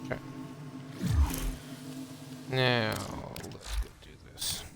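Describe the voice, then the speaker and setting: A man's voice: mid-range voice, little pitch movement, drawn-out words, vocal fry, over music. A man giving casual live gameplay commentary as a game streamer.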